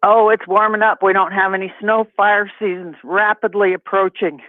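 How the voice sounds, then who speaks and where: A woman's voice: medium pitch, steady tone, moderate pace, no room sound. A chatty, folksy woman from Montana calls in to a talk show. Her voice comes through a thin, tinny phone line and sounds dry and close. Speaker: caller. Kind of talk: phone call.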